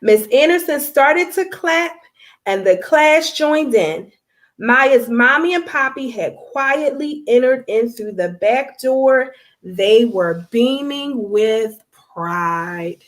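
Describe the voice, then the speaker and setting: A woman reads a storybook aloud to children at a slow, deliberate pace. Her voice sits fairly high and swings widely in pitch as she addresses her young listeners directly and expressively. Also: expressive. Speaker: children's book author reading aloud.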